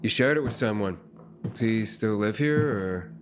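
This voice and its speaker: An actor playing a wry, earnest young adventurer in a video game, with a casual, teasing and sometimes halting delivery. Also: male voice, lowish voice, animated, over music, natural voice. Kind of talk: naturalistic video game acting.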